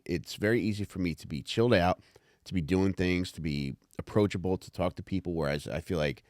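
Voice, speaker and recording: A man's voice: deep voice, a little mellow, dry recording, clean studio sound. A man talking candidly and informally on a podcast, rambling a little and reading out his own written commentary. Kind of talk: casual podcast chat with read-aloud commentary.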